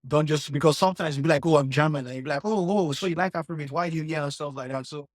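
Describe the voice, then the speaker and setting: A man's voice: fairly high pitch, rapid-fire, no echo, studio quality. A young man from Nigeria co-hosting a podcast, talking in an earnest, reflective way with lots of "like" fillers. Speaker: podcast co-host. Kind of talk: casual chat.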